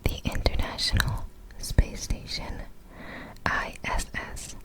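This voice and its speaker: A woman reading facts in a breathy ASMR whisper, halting and apologetic when she stumbles over words. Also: rough recording. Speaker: ASMR video creator.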